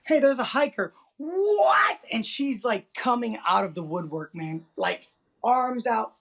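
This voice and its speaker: An excited volunteer telling a story casually and colloquially in an interview. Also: medium pitch, measured pace.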